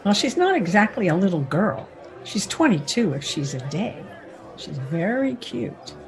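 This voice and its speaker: A woman's voice: mid-range voice, very animated, a little slow, a crowd in the background. An actress playing a knowing, worldly frontier saloon woman, wry and teasing, in a radio western. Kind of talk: old-time radio western acting.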